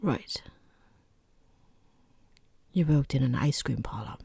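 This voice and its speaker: Woman acting breathy, half-whispering rude boss. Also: a bass voice, lively intonation, takes it slowly, mellow, dry recording, heavily acted. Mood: dryly patronizing. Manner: dry, sarcastic, hesitant politeness. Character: rude, condescending female boss interviewing a hapless job applicant. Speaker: job interviewer. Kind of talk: soft-spoken ASMR roleplay.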